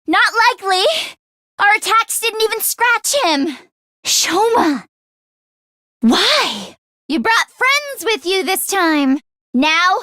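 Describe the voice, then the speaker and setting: A woman voice acting an earnest, anxious teenage schoolgirl in an English video game, with expressive, emotionally heightened delivery. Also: very high voice, no echo, clean studio sound, heavily acted. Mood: alarmed.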